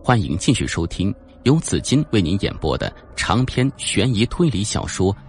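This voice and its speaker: Deep-voiced man narrating a suspense audiobook. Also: big pitch swings, moderate pace, darkish tone, faint music behind. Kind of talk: scripted suspense novel narration.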